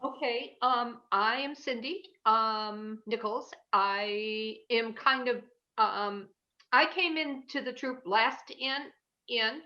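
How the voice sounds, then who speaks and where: A woman's voice: fairly high pitch, slow pace, dull audio. A woman in her sixties from Michigan with an American accent, hesitantly introducing herself. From Michigan, United States. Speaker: scout troop member. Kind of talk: informal self-introduction.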